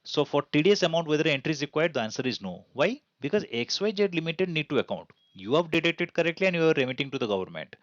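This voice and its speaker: A man's voice: a little high, big pitch swings, quick pace, few pauses, dry recording. Indian man teaching, dry muffled recording. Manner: didactic, asks and answers own questions. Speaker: accounting instructor. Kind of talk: explanatory tutorial over slides.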